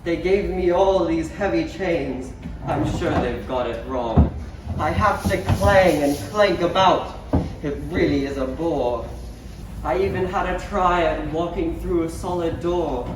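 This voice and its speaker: A chained, grumbling ghost played by a man recites comic pantomime rhymes in a fairly high male voice. The delivery is slow and theatrical, heard from far off on a noisy stage. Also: natural voice. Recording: distant, echoey stage recording with noise.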